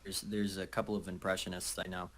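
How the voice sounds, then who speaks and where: A man's voice: deep voice, level tone, fast, fairly bright tone. A man talking casually in a conversational way. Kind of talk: casual chat.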